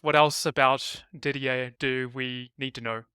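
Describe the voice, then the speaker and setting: A man hosting an interview, firing off brisk, upbeat rapid-fire questions. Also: mid-range voice, fairly even intonation, sharp tone, smooth, no room sound, studio quality. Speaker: interview host.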